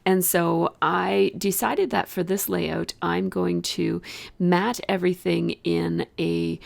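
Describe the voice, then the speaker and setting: Woman, hoarse with a cold, deep vocal fry, low, chatty craft-video narrator, dry close mic. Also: no room sound. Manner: chatty, explains each step with many fillers. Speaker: scrapbooking video host. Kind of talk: casual process video voice-over.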